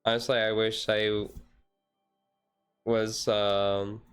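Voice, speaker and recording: A man streamer chatting casually in a relaxed, rambling way on a chill hobby stream. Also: lowish voice, extremely slow, clean tone, faint music behind.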